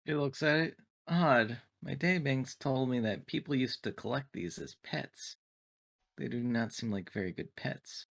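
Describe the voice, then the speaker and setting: A player puts on a synthetic android for a tabletop game. The speech is formal and clinical, taking everything literally with detached curiosity. The pitch sits in an ordinary middle range. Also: measured pace, vocal fry. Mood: puzzled, literal. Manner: formal, stilted, clinical wording. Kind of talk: tabletop roleplay, in character.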